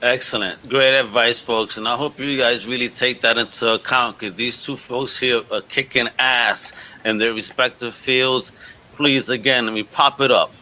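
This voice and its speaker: Male podcast host, forceful bright tone, effusive, casual slang, chatting with guests. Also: mid-range voice, fairly even intonation, moderate pace, poor audio. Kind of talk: casual video podcast interview.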